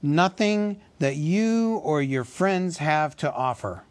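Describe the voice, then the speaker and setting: A man voicing a proud, wrathful male dwarf king who snaps and shouts, in a storybook reading. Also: fairly high pitch, animated, slow pace, pitched above the performer's own voice. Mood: cold anger. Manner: shouting, bitter, commanding.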